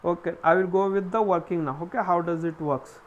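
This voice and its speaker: A man with a high voice and an Indian accent teaches an engineering class live online. He explains step by step, asking questions and answering them himself. He often repeats his phrases as he goes. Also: steady tone, a little fast, dull audio, slight background noise. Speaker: engineering lecturer.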